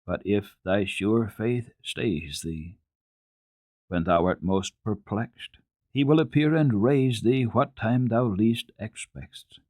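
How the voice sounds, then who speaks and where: An earnest, trusting little girl from a children's storybook, acted out by a man, speaking with simple, solemn assurance. The voice is husky and recorded very dry, with little room around it. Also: on the low side, slow, a little mellow, dry recording. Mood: solemn, reciting.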